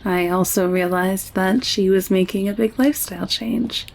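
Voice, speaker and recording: A woman acting a friendly, candid alien woman in an audio roleplay drama, chatting in a caring, gently teasing way. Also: medium pitch, steady tone, normal speed, vocal fry. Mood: reflective.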